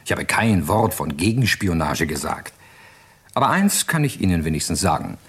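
An actor playing a suave, evasive gentleman secret agent in a radio crime drama, with an urbane and unflustered way of talking. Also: low-pitched, very animated, moderate pace, breathy edge, full character voice.

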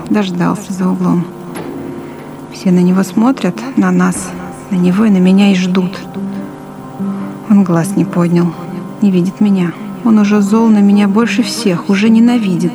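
A woman's voice: mid-range voice, lightly acted. Actress doing scared pregnant village woman, dazed dread, radio play monologue with music behind. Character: terrified pregnant village mother facing execution, dazed with dread. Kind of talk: audio drama interior monologue.

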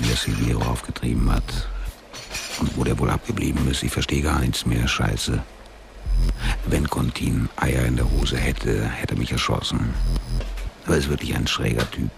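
An actor playing a bitter, cornered, sick gangster in a crime radio drama, talking in a coarse, street-tough way. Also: male voice, very deep voice, fairly even intonation, moderate pace, gravelly, over music, exaggerated voice. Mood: bitter, resigned. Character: bitter, cornered, terminally ill male gangster. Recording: traffic and car ambience noise.

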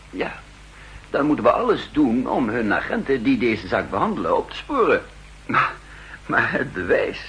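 An actor playing a cautious, guarded counter-espionage chief with a hesitant, clipped Netherlands Dutch delivery in a 1960s radio drama. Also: male voice, mid-range voice, fairly bright tone, poor audio. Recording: hissy 1960s radio recording.

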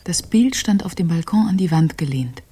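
An actress playing a self-possessed, teasingly polite young artist's model with a soft, breathy voice in a radio crime drama. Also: female voice, lowish voice, expressive, moderate pace, a little hiss or hum, lightly acted. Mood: gentle, coy.

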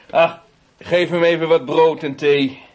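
A man playing an older police officer in a radio play, questioning in a curt, measured way. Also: on the high side. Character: older male police officer behind a desk, curt, unhurried. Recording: noisy old radio play recording.

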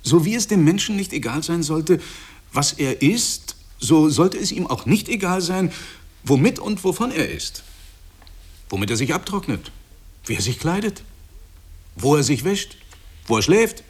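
A self-satisfied husband in a radio drama, pitched rather high and swinging widely. He lectures insistently and effusively, with a slightly husky edge. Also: very animated, a little slow, long pauses, exaggerated voice. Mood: preachy. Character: Michael, smug, preachy husband proud of his home.

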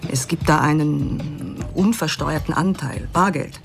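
A woman's voice: deep voice, a little slow, vocal fry. A woman playing a bossy, exacting lawyer in a German radio drama, with a commanding, withering delivery. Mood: businesslike. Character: bossy, exacting female lawyer, brisk and cutting. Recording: music behind the voice. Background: music.

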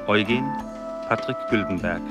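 A man's voice: lowish voice, moderate pace. A man announcing a radio play in a scripted style, reading titles and credits. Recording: loud music under the voice. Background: loud music, ticking.

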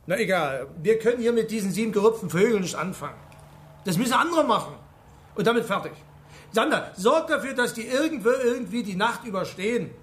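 A blustering police chief in a radio drama, his voice pitched high and swinging widely. He grumbles, brushes people off and gives curt orders with impatient authority. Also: expressive, brisk, a bit bright. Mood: brusque, dismissive. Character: gruff, dismissive male village police chief.

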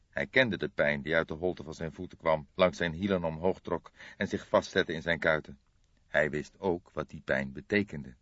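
Deep-voiced man narrating an audiobook. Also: husky. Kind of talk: scripted narration of a detective novel. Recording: dull lo-fi, boxy roomy sound.

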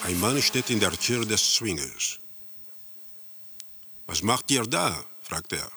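An actor playing a detached narrator in a radio drama, describing eerie scenes in a measured, matter-of-fact way. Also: male voice, fairly low pitch, sing-song, background noise, exaggerated voice. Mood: detached, ominous.

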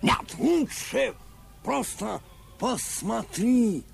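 Creaky, very slow boa constrictor. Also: male voice, very high-pitched, expressive, full character voice. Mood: blissful, delighted. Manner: drawn-out, blissful, gushing. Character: good-natured, dreamy, generous big male boa constrictor. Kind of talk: children's audio play acting.

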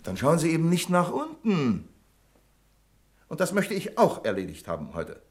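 An imperious bank manager, sweeping intonation. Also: male voice, fairly high pitch, huge pitch swings, normal speed. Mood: peremptory. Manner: curt, condescending, fault-finding. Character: pedantic, imperious male bank branch manager. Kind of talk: German radio play acting.

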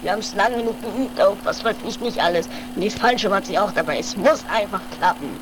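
A woman's voice: mid-range voice, brisk, lightly acted. A woman playing a nervous, devoted companion of a daredevil in an improvised comic radio play, muttering dramatically and repeating words. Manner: dramatic, repetitive, agitated muttering. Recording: noisy home-made 1980s radio play recording.

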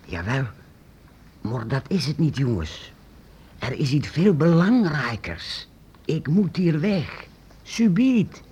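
An actor playing a secretive, eccentric master painter-inventor in a radio play, hesitant and halting, then urgent. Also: male voice, fairly high pitch, sing-song, a little mellow, slightly rough, heavily acted. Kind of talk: radio drama acting.

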